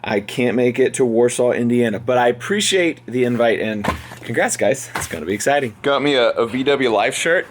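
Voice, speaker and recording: Slightly hoarse man, easygoing chat. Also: medium pitch, fairly quick, roomy sound, background noise. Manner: easygoing, rambling, animated. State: a little hoarse. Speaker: veterinarian vlogger. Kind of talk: casual vlog chat.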